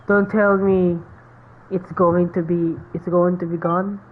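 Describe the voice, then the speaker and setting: A woman gives casual game commentary in a slightly low voice. Her delivery is slow and halting, with muttered reactions and faint noise behind. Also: flat delivery, narrow, tinny audio. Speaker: gamer playing and reacting.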